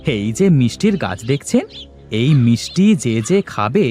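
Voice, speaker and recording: A man's voice: medium pitch, sing-song, measured pace, warm tone, soft background music. A man voicing a humble, earnest young village farmer who exclaims a lot in a cartoon story. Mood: earnest, relieved. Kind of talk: animated moral-story dubbing.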